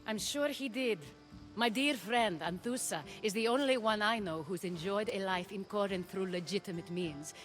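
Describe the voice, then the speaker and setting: An actress playing a poised, shrewd society hostess in a video game, with a measured, knowing and polished manner. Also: female voice, mid-range voice, soft background music, natural voice. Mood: discreet, knowing. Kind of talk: video game dialogue acting.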